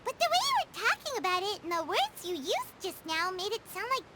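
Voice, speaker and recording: A woman voicing a small, curious, excitable fairy companion in a video game, with a squeaky childlike voice. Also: brisk, very noisy. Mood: curious, surprised. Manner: refers to herself in third person. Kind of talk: animated game dialogue. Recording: loud music behind.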